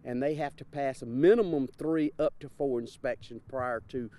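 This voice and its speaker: A man's voice: medium pitch, normal speed, mellow. A man in his fifties, a community organizer giving an informal interview outdoors. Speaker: fair board vice-president. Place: open field outdoors.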